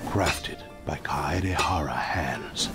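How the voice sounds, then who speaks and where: A man's voice: extremely low pitch, slow, heavily acted. A man playing an ancient, proud sword spirit in a video game, solemn and formal, with weighty delivery. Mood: proud, resigned. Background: loud dramatic game music.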